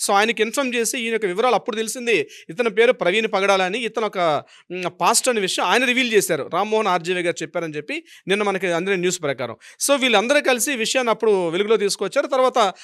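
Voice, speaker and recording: A man's voice: high-pitched, quick pace, a bit bright, dry recording, clean studio sound. A man with a Telugu accent giving explanatory legal commentary as an advocate, emphatic, repeating himself and posing rhetorical questions.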